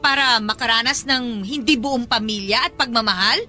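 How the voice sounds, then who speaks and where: An actress playing a hurt, defiant young mistress in an emotional Tagalog audio drama, pleading and confrontational. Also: female voice, a little high, normal speed, sharp tone, faint music behind. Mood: upset, protesting.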